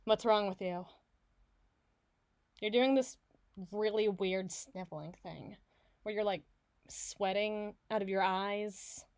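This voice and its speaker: A woman's voice: medium pitch, slow, long pauses, a little mellow. A woman playing a foul-mouthed, stubborn villain in an audio roleplay, blustering and sarcastic with her partner. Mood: brusque, dismissive. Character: brash, foul-mouthed, hot-tempered villain woman, gruffly soft on partner. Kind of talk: ASMR roleplay voice acting.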